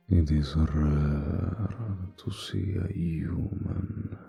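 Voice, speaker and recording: A man voicing an imposing, charming male vampire in an intimate roleplay audio, suave, courtly and flirtatious, with a deep, gravelly, husky voice. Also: highs cut off, heavily acted. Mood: teasing, probing. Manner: suave, courtly, teasing, drawn-out formal phrasing.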